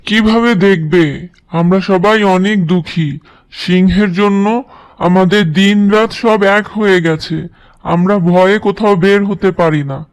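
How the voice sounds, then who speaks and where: A man's voice: sits high, steady tone, slow, clear, resonant tone. A man voicing a small, clever jackal in a children's cartoon, earnest and deferential as he persuades others. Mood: pleading. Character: small clever jackal, worried, polite and pleading schemer. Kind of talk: children's cartoon story dialogue.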